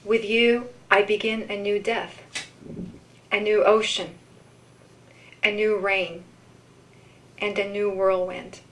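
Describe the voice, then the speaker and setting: Female poetry reader, mid-pitched, unhurried and measured, live event delivery. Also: level tone, low-quality recording. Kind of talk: live poetry reading with informal commentary.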